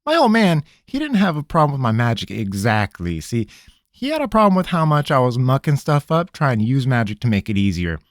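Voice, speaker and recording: A man voicing a nervous, rambling young farm-boy mage with a high, twangy, folksy voice. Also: sing-song, a little fast, no room sound, studio quality, close to an everyday voice. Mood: rambling excuses. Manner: jittery, wheedling, folksy.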